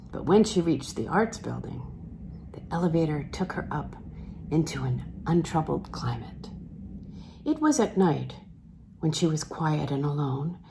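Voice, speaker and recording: A woman narrating literary fiction aloud as an audiobook reader, measured and expressive, letting phrase endings fall away softly. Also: on the low side. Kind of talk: scripted literary narration, reading a novel aloud.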